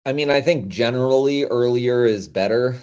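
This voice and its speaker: A man's voice: medium pitch, moderate pace, a little mellow, muffled recording. A middle-aged man speaking as a podcast guest, explaining things in an informal, conversational way. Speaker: podcast guest, industry consultant.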